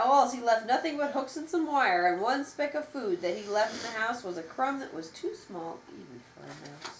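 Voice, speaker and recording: High-pitched, expressive woman reading a storybook. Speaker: parent reading a bedtime story. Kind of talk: animated children's storybook narration. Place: room at home. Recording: roomy home recording with faint noise.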